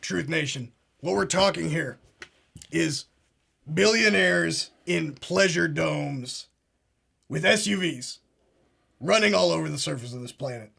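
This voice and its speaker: A man's voice: on the high side, a little slow, gravelly, pauses often, full character voice. A man playing a blustering male conspiracy talk-show host, pompous and fond of repeating himself. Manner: pompous, keeps saying "absolutely" and "ladies and gentlemen". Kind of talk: comedic parody show.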